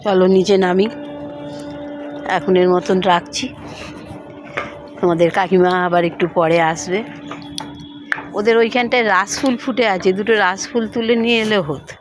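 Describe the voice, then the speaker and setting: A woman's voice: medium pitch, fairly even intonation, moderate pace, long pauses. Older Bengali woman vlogger, noisy recording. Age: middle-aged or older, has grandchildren. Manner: chatty, addresses viewers as friends. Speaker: home vlogger. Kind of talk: casual daily-life vlog narration to viewers.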